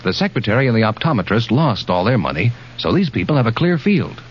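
A man's voice: mid-range voice, sing-song, quick pace, dull audio, slight background noise. An American man announcing scores and guests on an old-time radio quiz show. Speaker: announcer and sidekick. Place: studio stage before an audience.

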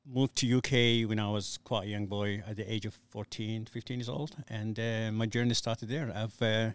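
A man's voice: on the low side, steady tone, normal speed, smooth, no room sound. A middle-aged man from Somalia, an English speaker, telling his story casually and hesitantly as an interview guest. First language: not English. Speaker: interview guest, youth community worker.

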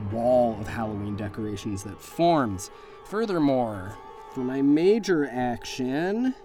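A man improvising vivid, descriptive narration as he runs and plays a tabletop role-playing game. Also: medium pitch. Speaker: game master and player.

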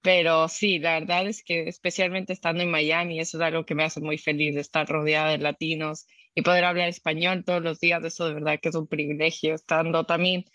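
Bright-voiced woman, upbeat guest. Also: low-pitched, a little fast, few pauses, no echo. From born in the United States, raised in the Dominican Republic, Ecuadorian family. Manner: laughs often, upbeat and lively. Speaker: tech worker, podcast guest. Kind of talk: conversational interview.

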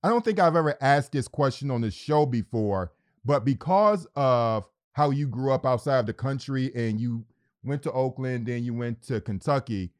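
A man hosting a show, asking questions in a relaxed, conversational way. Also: medium pitch, normal speed. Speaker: show host. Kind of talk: casual interview chat.

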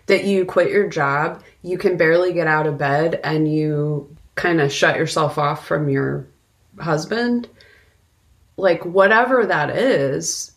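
A woman with a fairly low voice talks at a very unhurried pace. She sounds reflective and informal, as a podcast co-host chatting through ideas about a movie. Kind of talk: casual conversational film discussion.

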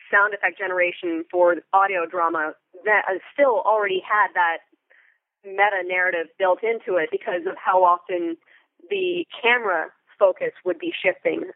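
A woman's voice: medium pitch, no echo. A middle-aged American woman speaking as an interview guest in articulate, wordy conversation over a phone-like connection. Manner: wordy, articulate phrasing with vivid examples. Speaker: interview guest, theater Foley artist. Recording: band-limited phone-like line.

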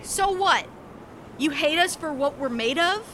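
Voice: sits high, expressive, a little slow, fairly bright tone, a little hiss or hum. An actor playing a defiant, indignant scientist in a sci-fi audio drama, dramatic and challenging.

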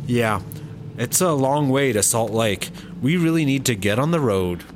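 A man's voice: medium pitch, expressive, unhurried, slight background noise, lightly acted. A man playing a weary, incredulous squad-car partner, the dry straight man of a comic audio drama. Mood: wary.

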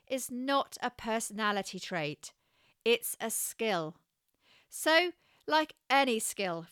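A woman with a West Country English accent, giving scripted coaching advice in a measured, encouraging way. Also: a little high, fairly bright tone, smooth, no echo, studio quality. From England. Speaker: communication coach.

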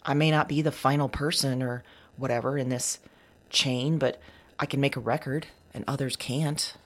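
A woman performing an audio drama monologue as an anxious, confessional figure, halting and hushed, sometimes slipping into whispers. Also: a bass voice, steady tone. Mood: resolved, musing. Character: anxious confessional woman recording her visions of a future woman.

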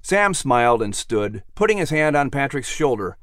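A man narrating a scripted children's story as announcer, with a theatrical, expressive delivery. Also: mid-range voice, moderate pace, no room sound, studio quality.

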